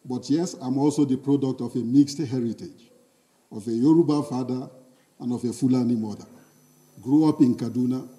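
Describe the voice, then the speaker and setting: A Nigerian man delivers a lecture in a measured, emphatic way. His voice is very dark and mellow. He sounds set back from the microphone. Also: medium pitch, far from the mic. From northern Nigeria. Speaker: guest lecturer. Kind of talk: public lecture.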